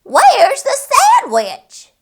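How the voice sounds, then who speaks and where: A plump little boy pig from a storybook, acted by a woman, shrieking in a high, leaping voice. He is pushy, greedy and bursting with impatience. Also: huge pitch swings, very slow, full character voice. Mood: impatient, pleading.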